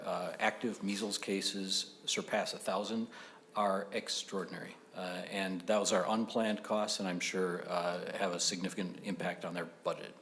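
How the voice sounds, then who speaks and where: Male state senator, lowish register, deliberate and formal, slight room noise behind him. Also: fairly bright tone. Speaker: state senator presenting a bill. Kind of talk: formal legislative testimony.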